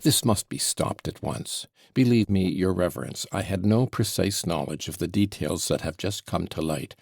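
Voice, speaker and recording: A man voicing a humiliated gentleman protesting heatedly. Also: sits low, expressive, normal speed, creaky, breathy edge, no room sound, clean studio sound, heavily acted. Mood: indignant. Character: indignant, humiliated gentleman, middle-aged man.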